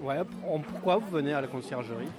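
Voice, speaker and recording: A man's voice: on the high side, expressive, quick pace, a crowd in the background. A man interviewing residents at an outdoor neighbourhood party, asking polite guiding questions. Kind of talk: street interview. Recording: outdoor party with music behind.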